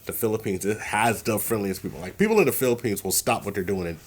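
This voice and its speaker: A man with a very low voice co-hosts a relaxed reaction podcast. He talks with emphasis and circles back over his phrases to drive a point home. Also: quick pace, slightly rough. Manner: emphatic, repeats phrases while building a point. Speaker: podcast co-host. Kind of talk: casual reaction chat.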